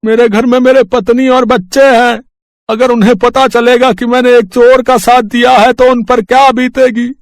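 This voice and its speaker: A man's voice: extremely high pitch, little pitch movement, dry recording, studio quality, voice pushed up. A man voicing a remorseful, frightened rickshaw driver, pleading as he confesses in a story. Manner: slow, pleading.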